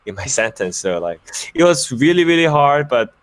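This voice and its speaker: A young man from Busan who speaks English with a Korean accent, a former pro player and caster chatting casually in an interview, halting with many fillers and restarts. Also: medium pitch, very animated, no room sound. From Busan, South Korea. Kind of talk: casual interview over a call.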